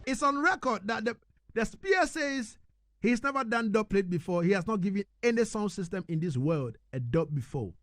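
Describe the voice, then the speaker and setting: High-pitched male radio host, dry sound. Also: animated, fairly bright tone, dry recording. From Ghana. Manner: halting questions with repeated words and emphatic recaps. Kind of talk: live radio music interview.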